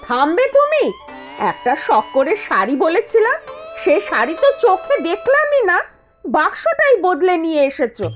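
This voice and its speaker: A woman's voice: very high voice, sing-song, moderate pace, muffled recording, music in the background. A woman voicing a hot-tempered, dramatic Bengali housewife in a cartoon. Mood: angry, scolding. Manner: scolding, dramatic. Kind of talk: cartoon voice acting.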